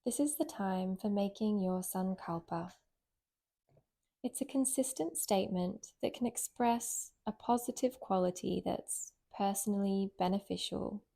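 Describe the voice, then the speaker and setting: A woman leading a guided relaxation in a gentle, soothing, unhurried way with long pauses. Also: medium pitch, creaky. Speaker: meditation guide, yoga nidra teacher.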